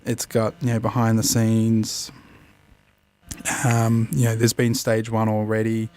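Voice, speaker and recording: A man's voice: on the low side, completely flat delivery, measured pace, darkish tone. A man with an Australian accent hosts a casual review, explaining things in a hesitant way. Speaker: host of an app review show.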